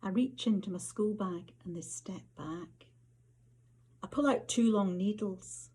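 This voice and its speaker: Husky Scottish woman reading short fiction. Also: mid-range voice, measured pace, mellow. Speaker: author reading their fiction. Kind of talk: literary reading of short stories.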